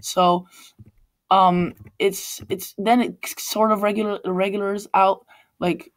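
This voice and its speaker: A man's voice: sits high, flat delivery, slow. Rambling man with a Polish accent. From Poland. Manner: rambling, frequent restarts and self-corrections. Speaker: weather channel host. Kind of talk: casual forecast over screen-shared maps. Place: Chicago.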